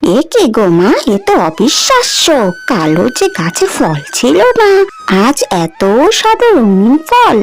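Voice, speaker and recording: A woman's voice: fairly high pitch, huge pitch swings, measured pace, full character voice. A woman voicing a poor, dutiful young village maid in a cartoon fairy tale, earnest and pleading. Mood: amazed.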